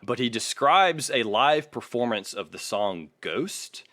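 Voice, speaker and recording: A man's voice: medium pitch, animated, takes it slowly, pushed and bright, no room sound. A man hosting a podcast, talking music casually in an analytical, opinionated way with some swearing. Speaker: podcast host. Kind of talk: casual music critique chat.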